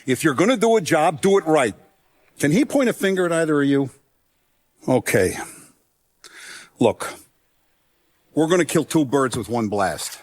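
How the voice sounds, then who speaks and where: An actor playing an older Italian-American mafia boss in a video game, paternal, calculating and ruthless, with measured, streetwise authority. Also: male voice, fairly high pitch, lively intonation, a little mellow. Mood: commanding. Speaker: crime boss character. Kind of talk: cinematic video game voice acting.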